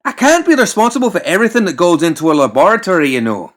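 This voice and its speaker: A man voicing an exasperated, excitable mad doctor in an audiobook, snappish, fussy and defensive. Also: sits high, very fast, pitched above the performer's own voice, exaggerated voice. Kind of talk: audiobook character voice.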